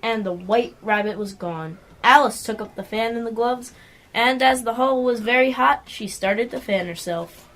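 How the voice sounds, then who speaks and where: A woman's voice: fairly high pitch, normal speed, a bit bright. A female narrator reading scripted storybook narration in an amateur radio play. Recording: faintly noisy amateur recording.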